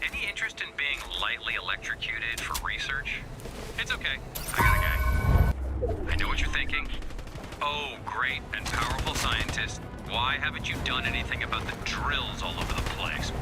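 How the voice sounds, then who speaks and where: An actor playing a vain, flippant scientist in a video game, with a wry, theatrical, self-satisfied delivery. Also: male voice, mid-range voice, natural voice. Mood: smug, urgent. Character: vain, flippant male scientist and resistance leader, playful and sarcastic. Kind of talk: scripted video game character dialogue.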